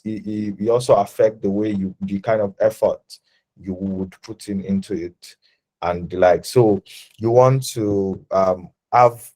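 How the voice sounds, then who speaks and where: Young Nigerian man, low and mellow voice, halting and self-correcting delivery. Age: 20s. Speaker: designer guest. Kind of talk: casual interview talk.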